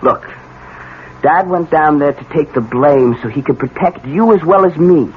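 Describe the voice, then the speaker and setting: An actor playing a scared, resentful young son in a 1970s radio drama. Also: male voice, on the high side, moderate pace, natural voice. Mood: bitter. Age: young adult. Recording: tinny, lightly noisy old radio broadcast.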